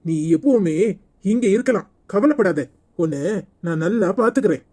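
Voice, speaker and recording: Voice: mid-range voice, animated, moderate pace, warm tone, close to an everyday voice. An actor playing a male village brother-in-law in a melodrama, protective and earnest but short-tempered. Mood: consoling. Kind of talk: melodramatic village serial acting.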